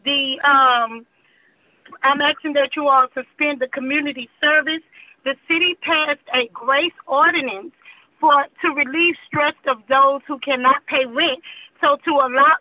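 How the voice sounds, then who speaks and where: A woman from Houston calling in to give earnest public comment, halting now and then. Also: high-pitched, pushed and bright, low-quality recording. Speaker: caller.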